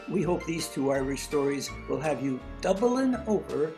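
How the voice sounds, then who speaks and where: Lower-voiced lady introducing bits, lively, faint music behind. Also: moderate pace. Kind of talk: introducing segments. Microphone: video call.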